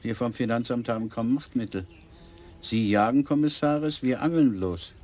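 An actor playing a dogged, officious tax official in a radio crime play. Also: male voice, mid-range voice, normal speed, a little mellow, a little hiss or hum, lightly acted. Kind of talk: radio drama acting.

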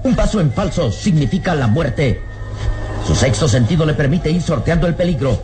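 Male narrator, fairly high voice, declamatory, suspenseful, old radio serial, music behind. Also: lively intonation, moderate pace.

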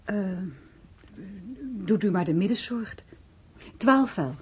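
An actress playing a hesitant, polite shop customer in a radio drama. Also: female voice, mid-range voice, lively intonation, slight background noise. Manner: hesitant, unhurried.

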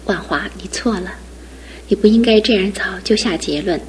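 An actress playing a devoted young fiancée in a studio radio drama, speaking earnestly and with soft insistence. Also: female voice, mid-range voice, moderate pace, slight background noise, natural voice, low-quality recording. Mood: gently firm. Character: devoted young fiancée, gentle but resolute.

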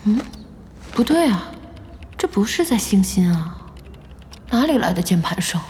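An actress playing a bossy, good-hearted young woman who runs an internet cafe in an audio drama, brisk and scolding with lots of exclamations. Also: medium pitch, big pitch swings, darkish tone, a little hiss or hum. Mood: fond nagging.

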